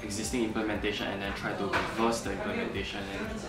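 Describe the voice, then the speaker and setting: A man, a software engineer, speaking hesitantly with many fillers. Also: lowish voice, steady tone, fast. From Singapore. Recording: roomy, distant mic. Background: background music.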